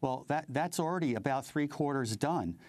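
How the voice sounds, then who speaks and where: An older man with an American accent giving interview commentary in a halting, measured way, often repeating words. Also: fairly high pitch, expressive, darkish tone, no echo. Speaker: interview guest, advocacy group president.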